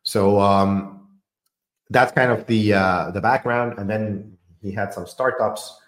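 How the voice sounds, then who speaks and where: Low-voiced guy rambling casually about tech, lots of ums and restarts, echoey room. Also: slow pace. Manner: hesitant, many fillers and repeated words. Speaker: podcast host. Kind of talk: casual technical discussion.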